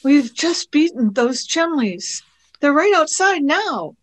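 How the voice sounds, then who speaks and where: A woman plays a young, excitable female time-traveller for radio drama. Her voice is high and very lively in pitch. She takes her words slowly, with an anxious edge. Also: heavily acted. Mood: worried. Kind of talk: radio play acting.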